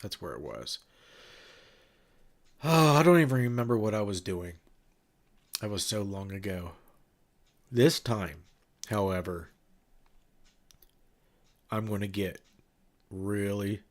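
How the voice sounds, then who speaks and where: Stuffed-up nasal man, low voice. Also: lively intonation, slow, pauses often. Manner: rambling, loose, many fillers and restarts. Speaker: livestream host and ghost-hunting event organizer. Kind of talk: casual livestream chat with viewers.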